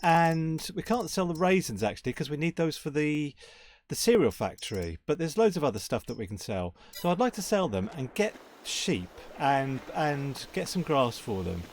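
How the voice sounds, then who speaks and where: Gameplay host, male, croaky, rambling and self-correcting, faint game noise underneath. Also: a little high, sing-song, moderate pace. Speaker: gaming channel host. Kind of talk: casual farming-sim gameplay commentary.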